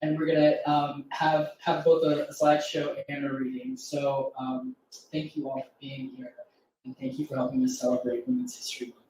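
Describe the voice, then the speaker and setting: A man's voice: fairly high pitch, little pitch movement, normal speed, a little mellow, distant mic. A man speaks as an event host, giving a prepared introduction. His voice rings in a very echoey room and sits away from the microphone. There is faint noise in the recording.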